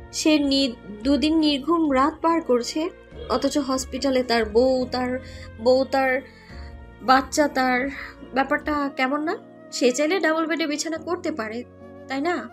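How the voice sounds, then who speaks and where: A woman's voice: high-pitched, steady tone, measured pace, long pauses, faint music behind. A woman playing a young wife and mother recovering in hospital, tender and teasing, in a romantic audio story. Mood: teasing, questioning.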